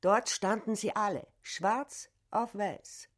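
A woman acting a hushed, scheming storytelling voice in a radio play. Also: mid-range voice, takes it slowly. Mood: secretive.

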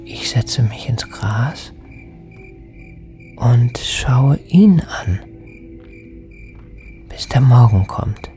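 An actor voicing a sorrowful, bewildered lost soul in a poetic radio drama, speaking in hushed, halting fragments and often whispering. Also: medium pitch, lively intonation, faint music behind. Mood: wistful, desolate.

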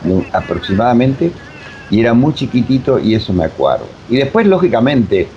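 A man's voice: sing-song, moderate pace. A man in his seventies from Uruguay with a Rioplatense accent, reminiscing in a rambling, digressive interview style. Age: 70s. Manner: rambling, digressive, many filler phrases. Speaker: interview guest, voice technique teacher. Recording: dull, rumbly, faintly noisy recording.

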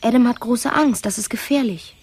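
An actress playing a troubled but determined wife in a German radio play, soft, intimate and pointed. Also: female voice, on the high side, moderate pace, slightly rough. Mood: uneasy, confiding.

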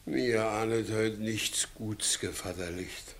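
An actor playing a blustering, scheming village judge in a theatrical 1960s German radio comedy, given to exclamations. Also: male voice, on the low side, slow. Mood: uneasy. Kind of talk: 1960s German radio play acting, classical stage comedy.

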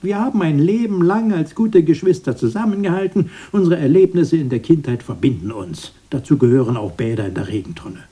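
Husky, mellow elderly brother. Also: male voice, fairly high pitch, lively intonation, fairly quick, few pauses. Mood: piqued, correcting. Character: elderly brother, proper and nostalgic, easily piqued. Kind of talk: radio play dialogue.

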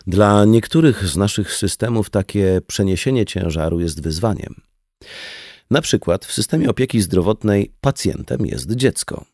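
Deep, mellow male audiobook reader. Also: lively intonation, normal speed, dry recording, studio quality. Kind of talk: scripted narration, audiobook reading of a dialogue.

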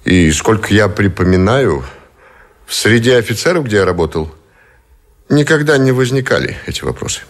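A dignified naval officer in a radio play. His voice is low and his delivery is deliberate and formal. He sounds reserved, choosing each phrase with measured care. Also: sing-song. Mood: formal, composed. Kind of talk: Russian radio drama acting.

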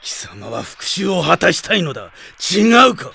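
An actor playing a hostile, haughty old general with imperious, archaic speech in a radio drama. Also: male voice, fairly high pitch, sing-song, moderate pace, gravelly, sharp tone, breathy edge, heavily acted. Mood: scornful, suspicious. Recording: music behind the voice.

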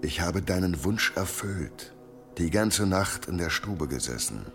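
Cold demonic beast: deep-set, commanding, dynamic delivery for audio drama. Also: male voice, expressive, a little slow. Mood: cold, insistent. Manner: commanding, menacing. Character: demonic beast in a dead husband's body, cold, domineering. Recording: music under the voice.

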